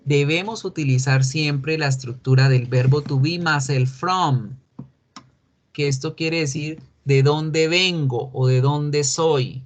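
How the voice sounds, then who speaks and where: A man speaking as an English teacher, explaining a recorded lesson patiently and repeating key words slowly. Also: mid-range voice. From Colombia.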